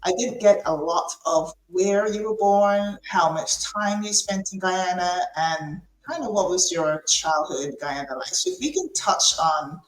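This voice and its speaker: A woman's voice: lowish voice, unhurried, hardly any pauses. Chatty woman host in an echoey room. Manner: chatty, many fillers and restarts. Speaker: podcast host. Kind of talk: casual interview chat.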